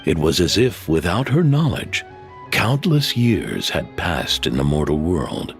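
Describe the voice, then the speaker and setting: A man's voice: deep voice, sing-song, vocal fry, over music, full character voice. An actor playing a proud, traditional innkeeper father in a video game, with a dramatic storyteller's manner. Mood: storytelling. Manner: dramatic, measured storyteller, indignant. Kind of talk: animated video game voice acting.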